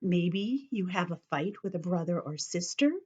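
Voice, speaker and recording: A woman teacher leading young children through a calming drawing activity, speaking gently and encouragingly straight to them. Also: on the low side, moderate pace, husky. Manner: gentle, encouraging, talks directly to kids. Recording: dull lo-fi home video sound.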